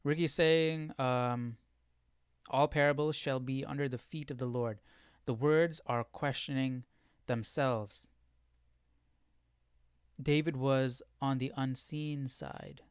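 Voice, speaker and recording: Man thinking aloud, clear dry voice. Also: medium pitch, steady tone, slow, long pauses, no room sound. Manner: questioning, explanatory, often checks with "right?". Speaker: livestream Bible study host. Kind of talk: casual live Bible commentary, thinking aloud.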